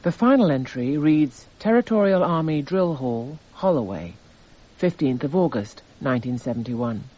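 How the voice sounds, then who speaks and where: An actor playing an impassive narrator in a radio drama, reading out court evidence in a measured, factual way. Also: male voice, a little high, lively intonation, mellow, dull audio. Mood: detached, factual.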